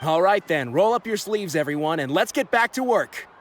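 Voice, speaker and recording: A man's voice: high voice, expressive, moderate pace, faint music behind. A man playing an eager, agreeable young helper in a video game. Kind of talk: video game character acting.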